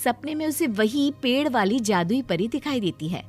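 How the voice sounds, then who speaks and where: A woman's voice: on the high side, animated, moderate pace, darkish tone, breathy edge, soft background music. A woman narrator reading a scripted children's cartoon story.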